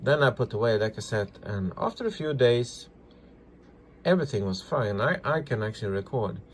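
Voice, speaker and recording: A man presenting a casual hands-on repair tutorial, talking through each step in halting, simple non-native English. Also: medium pitch, expressive, slight background noise. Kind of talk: casual hands-on demonstration, narrating as they work.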